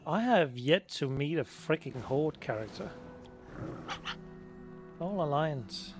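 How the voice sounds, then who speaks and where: A man's voice: on the high side, lively intonation, slow pace, music in the background. A man giving casual, offhand let's play commentary, half muttering to himself as he streams. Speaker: game streamer.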